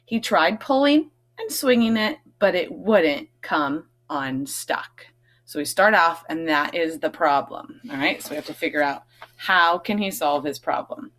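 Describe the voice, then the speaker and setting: A woman's voice: sits low, expressive, a little slow, a bit bright. A woman reading a picture book aloud to children, stressing key words expressively and adding chatty, questioning asides. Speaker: read-aloud host, teacher reading to children.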